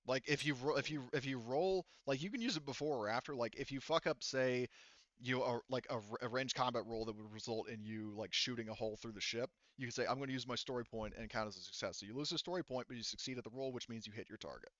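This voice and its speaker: A man running a tabletop roleplaying game as game master, explaining story and rules in a halting, informal way. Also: mid-range voice, a bit bright, no room sound, highs cut off. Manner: halting, hedging, informal and profane.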